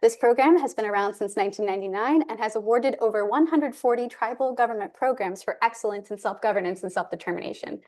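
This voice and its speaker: Measured woman reading a formal acknowledgment. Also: a little high, a little mellow. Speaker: panelist, assistant director of a university program. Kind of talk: formal land acknowledgment reading, then self-introduction.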